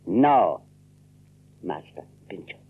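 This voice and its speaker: An actor playing a humble, guarded workman of colonial New England in a deferential, measured way for an audio drama. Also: deep voice, big pitch swings, husky, heavily acted. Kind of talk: audiobook radio drama acting. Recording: muffled, narrow-band old audio drama recording.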